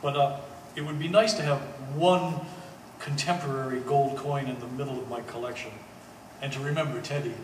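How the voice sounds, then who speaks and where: A man playing an earnest, plain-spoken man in a stage play, speaking haltingly and repeating words. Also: mid-range voice, fairly even intonation, close to an everyday voice. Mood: gentle, hesitant. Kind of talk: one-act stage play performance. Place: art gallery, live staged reading. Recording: echoey gallery hall, distant mic, hiss.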